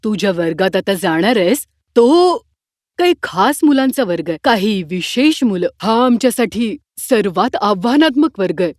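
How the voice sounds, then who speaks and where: A woman voicing a concerned, apologetic and supportive schoolteacher in an animated fairy tale, speaking carefully. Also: medium pitch, moderate pace, no echo, studio quality, close to an everyday voice. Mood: uneasy warning.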